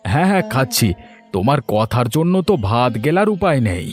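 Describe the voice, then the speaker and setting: A man's voice: mid-range voice, big pitch swings, fairly quick, faint music behind, natural voice. A man voicing a boastful, defensive older village grocer husband in a cartoon story. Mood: peevish. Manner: boastful, peevish. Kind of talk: Bengali cartoon story dialogue.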